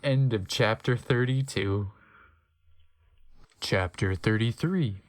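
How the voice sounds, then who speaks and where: A narrator giving a theatrical, comic dramatic reading of fan fiction, breaking off for deadpan asides. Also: mid-range voice, takes it slowly.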